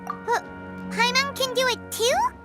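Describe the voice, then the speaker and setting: A woman voicing a tiny, excitable, curious childlike fairy companion in a video game, with a squeaky voice and naive exclamations. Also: exaggerated voice. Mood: eager. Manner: exclamatory, naive, drawn-out questions. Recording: loud music behind the voice.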